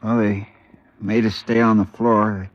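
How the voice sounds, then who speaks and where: An actor playing a shaken, deferential robbery victim, hesitant and subdued, in an old radio drama. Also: male voice, on the low side, level tone, a little slow, no echo, natural voice. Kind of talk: 1950s radio drama acting. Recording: dull vintage radio recording.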